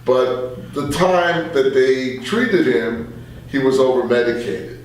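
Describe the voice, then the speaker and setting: A man's voice: mid-range voice, lively intonation, takes it slowly. A man talking casually as a talk show host, agreeing emphatically and speaking in a conversational way. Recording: distant, very echoey room, faint noise.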